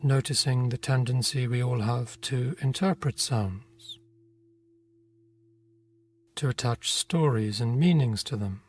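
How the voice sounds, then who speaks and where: A man narrating a guided meditation in a gentle, unhurried manner, leaving long pauses between phrases. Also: mid-range voice, slightly rough. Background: meditation music with singing bowl tones.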